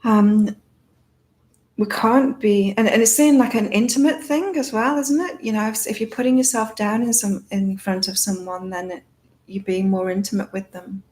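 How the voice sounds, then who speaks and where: A woman hosting a live psychic card-reading chat with a calming voice, talking in a rambling, reflective way with many fillers. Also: mid-range voice, normal speed, rough recording. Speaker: psychic reader and live-stream host. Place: in Thailand.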